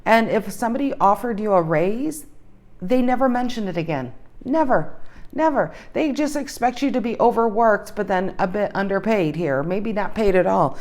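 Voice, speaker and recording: A woman's voice: medium pitch, expressive, normal speed, a little mellow. A woman giving a casual tarot reading to her viewers, thinking aloud and repeating her questions as she pulls cards, her voice slightly worn. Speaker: tarot reader.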